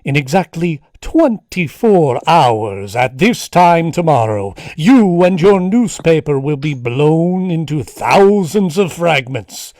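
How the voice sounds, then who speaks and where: Man playing a slow, gloating masked radio villain. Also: on the high side, sing-song, darkish tone, no room sound, clean studio sound, full character voice. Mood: gloating menace. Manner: theatrical, deliberate threats. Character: menacing, gloating male masked criminal mastermind. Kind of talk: 1940s radio serial acting. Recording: live home-streamed radio play.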